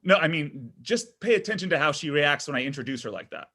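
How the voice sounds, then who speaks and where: Insecure boyfriend, thirties, male actor, lightly high-pitched, conversational comedy delivery, presses for reassurance. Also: expressive, quick pace, pushed and bright, heavily acted. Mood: eager, confiding. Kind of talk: comedy pilot table read.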